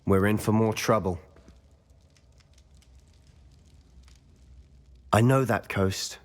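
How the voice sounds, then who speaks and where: A man's voice: fairly low pitch, moderate pace, close to an everyday voice. A man voicing a hot-headed, bitter teenage boy wizard in a video game. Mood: grave. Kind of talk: video game dialogue acting. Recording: long reverberant hall, music behind.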